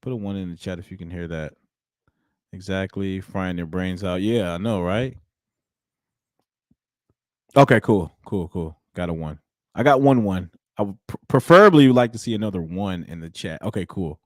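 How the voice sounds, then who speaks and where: 30s guy live streaming, lively up and down voice, dry close mic, talks to chat a lot. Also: low-pitched, moderate pace, no echo. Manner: animated, preachy, talks to the chat. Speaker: livestream host. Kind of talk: live commentary. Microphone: studio mic with headphones.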